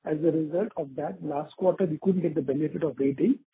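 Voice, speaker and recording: A man with a rough, husky voice, explaining things as a bank executive on an earnings call. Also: on the high side, fairly quick, no room sound. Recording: muffled narrow phone line.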